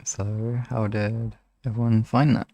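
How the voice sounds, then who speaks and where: A man with a lowish voice and a lot of creak talks in a soft, relaxed way, as an ASMR streamer does with his listeners. The sound is dry and close, and he takes his time in casual chat. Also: a little slow, no room sound, dull audio. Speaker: ASMR hypnosis live streamer.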